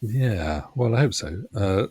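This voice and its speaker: A man's voice: on the low side, animated, slow, dark tone, breathy edge, dry recording. A man speaking casually as an interview guest, hesitant and self-effacing in how he talks.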